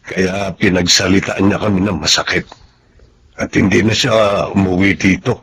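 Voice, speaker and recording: A man's voice: deep voice, steady tone, normal speed, a bit bright. A man acting as a grave, hurt middle-aged Filipino father in a radio drama, solemn and consoling in manner. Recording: faint background noise and ambience.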